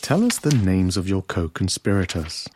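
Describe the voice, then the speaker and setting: A stern, threatening veteran interrogator in a sci-fi audio drama. His voice is deep and he speaks with deliberate control. There is some noise behind him. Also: big pitch swings, darkish tone. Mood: cold threat. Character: stern, menacing veteran male state interrogator.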